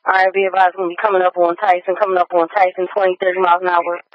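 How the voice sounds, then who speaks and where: A man's voice: high voice, monotone, fast, no echo. A man working as a police radio dispatcher, relaying a live pursuit in clipped, repetitive procedural phrases over a radio channel. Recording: dull lo-fi police scanner radio.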